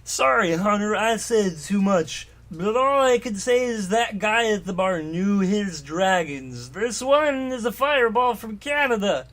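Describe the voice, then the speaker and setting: Jolly giant groundskeeper, played by a man, lively and raised in pitch, hearty, drawn-out delivery for comic fan fiction. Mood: apologetic, proud. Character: jolly, secretive giant groundskeeper with pet monsters. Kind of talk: comedic dramatic reading of fan fiction.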